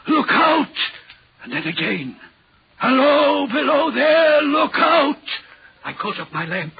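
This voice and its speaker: An actor playing a troubled, fearful railway signalman in an intense, halting 1940s radio drama style. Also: very high-pitched, sing-song, creaky, heavily acted. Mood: agitated, insistent. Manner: intense, halting, dramatic storytelling with cried-out calls. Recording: muffled, scratchy 1940s radio recording.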